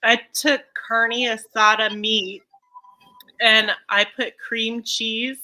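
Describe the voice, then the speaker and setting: A woman chatting casually on a livestream, talkative and quick to laugh. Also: fairly high pitch, flat delivery, pushed and bright, low-quality recording. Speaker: livestream co-host. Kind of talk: casual chat.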